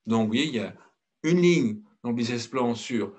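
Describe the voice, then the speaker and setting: A man's voice: mid-range voice, animated, normal speed, darkish tone, slightly rough. A middle-aged man from France giving a blunt, outspoken presentation as director of a consultancy, with frequent hesitations and restarts. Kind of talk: conference talk.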